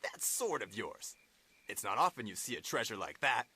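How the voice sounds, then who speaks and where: An actor playing a greedy, menacing thug ringleader with a mock-casual manner in a video game. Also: male voice, on the low side, big pitch swings, moderate pace, bright, forceful tone, slightly rough, heavily acted. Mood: smug.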